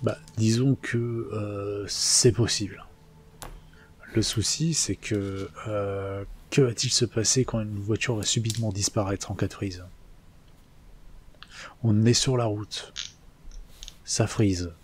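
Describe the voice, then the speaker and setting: A man playing a character in a tabletop game, speaking in a measured, deliberate and slightly formal way. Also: lowish voice, steady tone, a little mellow, a little hiss or hum. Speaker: player.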